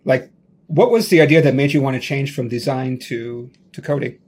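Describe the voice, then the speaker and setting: Male podcast host, mid pitch, casual and rambling, video-call audio. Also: moderate pace, darkish tone, breathy edge. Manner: loose and chatty, full of "like" and restarts. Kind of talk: casual tech interview.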